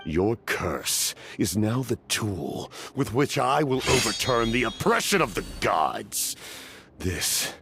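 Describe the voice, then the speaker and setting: A grim immortal knight captain, really low voice, swelling dramatic delivery, video game cutscene. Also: male voice, sing-song, slow pace, vocal fry, noisy background, exaggerated voice. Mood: defiant, bitter. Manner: grave, formal, declamatory.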